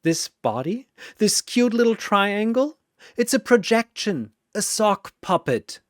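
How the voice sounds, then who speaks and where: A gleeful, menacing cartoon dream demon, acted by a man, pitched high with wild swoops. He taunts and mocks theatrically, in a close, dry sound. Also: very animated, takes it slowly, dry recording, full character voice. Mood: smug menace.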